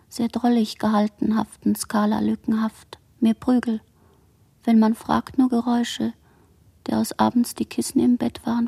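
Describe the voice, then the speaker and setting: A woman playing a dazed, barely conscious girl who survived a shipwreck, speaking halting fragments in a radio play monologue. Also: fairly high pitch, gravelly, warm tone, husky. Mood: numb, drifting. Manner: fragmented, halting word chains.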